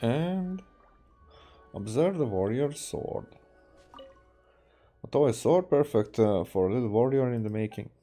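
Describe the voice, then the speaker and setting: A man from Hungary, a native Hungarian speaker, streaming a game with casual, halting commentary. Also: medium pitch, lively intonation, dark tone. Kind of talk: casual game commentary, reading dialogue aloud. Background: game music.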